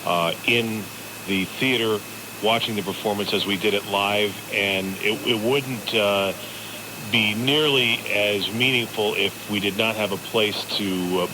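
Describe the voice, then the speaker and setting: Low male voice, radio interview guest, noisy. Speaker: radio theatre actor, studio guest. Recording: very noisy live radio broadcast.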